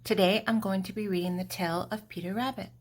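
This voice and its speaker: An older woman narrating a children's storybook expressively, with deliberate dramatic pauses and emphasis. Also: medium pitch, fairly even intonation.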